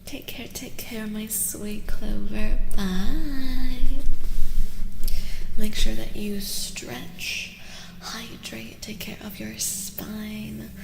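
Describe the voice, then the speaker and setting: A woman speaking softly and gently in a breathy voice that often drops to a whisper, repeating soothing phrases as she chats with viewers on an ASMR tarot stream. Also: medium pitch. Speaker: streamer giving tarot card readings. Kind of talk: soft-spoken ASMR live stream, chatting with viewers. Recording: roomy reverb, clear background noise.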